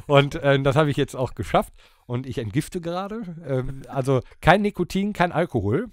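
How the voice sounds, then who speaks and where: A man's voice: medium pitch, animated, normal speed, a little mellow, no room sound, clean studio sound. A man co-hosting a podcast in casual, joking chat. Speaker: podcast co-host.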